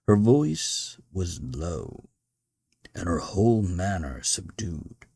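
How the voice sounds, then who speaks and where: A man with a deep, husky voice narrates a classic story in measured, deliberate phrases. His pitch moves up and down expressively as he reads, in the manner of an audiobook. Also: very animated, a little mellow, dry recording, clean studio sound. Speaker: podcast host reading classic literature aloud. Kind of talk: audiobook-style narration of a gothic short story.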